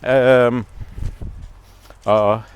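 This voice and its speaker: A man's voice: medium pitch, fairly even intonation, extremely slow, clean tone. A man giving a blunt, rambling monologue as a vlogger, talking while out on a walk.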